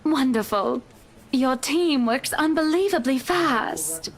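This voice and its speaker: Rich bubbly wife in a video game, high sweet voice, super gracious and gushy. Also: female voice, expressive, takes it slowly, soft background music, heavily acted. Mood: delighted, gushing. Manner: gushing, polished, affectedly sweet. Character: wealthy, bubbly, gracious married woman, an eager house buyer.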